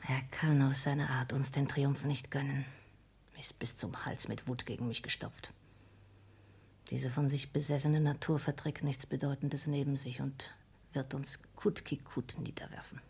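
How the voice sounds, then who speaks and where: Scheming countess for a 1960s radio drama: low, very husky and breathy, formal, driven, intense. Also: female voice, fairly even intonation, unhurried, darkish tone, exaggerated voice. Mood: bitter, intense. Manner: formal, clipped, intense stage diction. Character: scheming, hard, ambitious countess plotting against her dying father. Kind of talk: 1960s German radio play acting.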